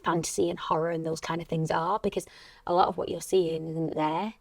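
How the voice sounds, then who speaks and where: A woman's voice: lowish voice, little pitch movement, fairly quick, creaky, dry recording. A young woman, an actress, interviewing a colleague in casual, easygoing podcast chat.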